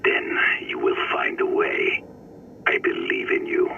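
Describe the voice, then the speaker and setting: An actor playing an intense, seasoned hunter mentor in a video game, speaking formally and deliberately with a commanding manner. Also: male voice, narrow, tinny audio, background noise, lightly acted. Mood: measured, solemn. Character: intense brooding seasoned male hunter mentoring a masked hero. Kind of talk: dramatic video game voice acting.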